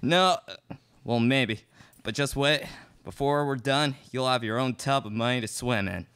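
A man's voice: mid-range voice, unhurried, fairly bright tone, smooth. A young man playing a cocky, menacing outlaw leader in a live student radio play. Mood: sly, menacing. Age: young adult. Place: classroom studio, indoors. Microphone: stand microphone. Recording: noisy live room recording with clicks.

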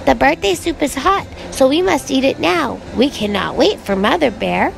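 A woman voicing an excitable little boy bear cub with an eager, childlike way of exclaiming, in a storybook reading. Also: high-pitched, normal speed, creaky, slight background noise, voice pushed up, heavily acted, poor audio. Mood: cheerful.

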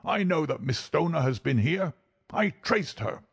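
A furious old villain from a storybook. He snarls threats and insults like a huge, menacing bully, pitched well above the narrator's usual voice. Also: lively intonation, measured pace, gravelly, husky, no echo, clean studio sound, exaggerated voice. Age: older.

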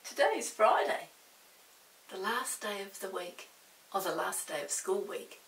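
A woman in her sixties reads a picture book aloud to children, unhurried and gentle, often slipping into a hushed whisper. The sound is roomy and a little distant from the mic. A faint hiss sits underneath. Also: mid-range voice, expressive. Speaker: storytime host reading to children. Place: indoor room with a storybook set.

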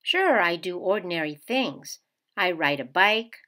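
Slow, animated woman reading to children. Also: lowish voice. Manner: expressive, addressing young children. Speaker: picture-book read-aloud narrator. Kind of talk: children's storybook read-aloud.

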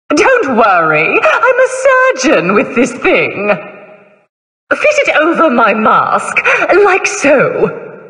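A gleefully morbid female plague doctor from a video game, speaking slowly in a high, bright voice. Her delivery is theatrical and mock-polite, drawing out her words, and it rings with echoey hall reverb. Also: heavily acted. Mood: mock concern. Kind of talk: video game character voice lines.

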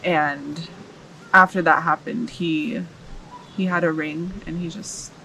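A woman's voice: low-pitched, fairly even intonation, slow pace, gravelly, bright, forceful tone, slight background noise, low-quality recording. A young woman from the United States, telling her story reflectively as an interview guest outdoors. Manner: reflective, searching for words. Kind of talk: casual interview chat. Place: outdoors in a rural yard. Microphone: camera mic, seated mid shot.

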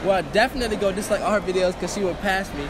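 A man's voice: sits high, very fast, crowd noise, noisy background. A teenage man hosting a joking street interview in a shopping mall with a handheld mic, teasing and boastful. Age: teens. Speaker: host.

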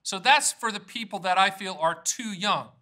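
A man's voice: high voice, moderate pace, sharp tone. An American man in his fifties presenting an informal explainer to camera as host, emphatic, folksy and plain-spoken. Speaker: annuity agent hosting an educational video series.

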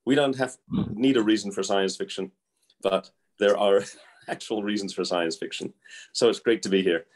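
Eager older man, low voice. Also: moderate pace. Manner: enthusiastic, hesitant, many fillers. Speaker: retired math professor. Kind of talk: casual video call introduction. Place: indoor room. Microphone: laptop on a video call.

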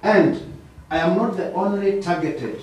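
Slow, halting Kenyan witness, echoey. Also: male voice, sits high, a little mellow. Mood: defensive, earnest. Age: 50s. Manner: halting, deliberate. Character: middle-aged male accused, defensive, in witness box. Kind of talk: TV courtroom drama testimony. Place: indoor courtroom set. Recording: echoey room, distant mic, faint noise.

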